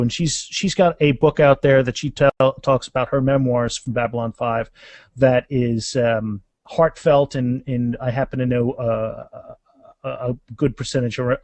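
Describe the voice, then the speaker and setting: A man's voice: mid-range voice, a little mellow, no echo. A man speaking as an author and interview guest, telling anecdotes in a casual, rambling conversational way.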